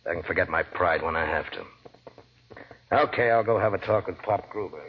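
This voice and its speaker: An actor playing a bitter, scheming, proud con man down on his luck, husky and slangy, in a 1940s radio drama. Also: sits low, brisk. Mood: scornful. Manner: clipped, slangy, contemptuous. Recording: dull old radio broadcast, faint music.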